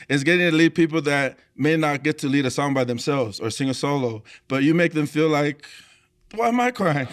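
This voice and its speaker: A man whose first language is Tongan, telling personal stories in a casual interview in a halting, reflective, conversational way. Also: a little high, a bit bright. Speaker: church choir leader and worship singer.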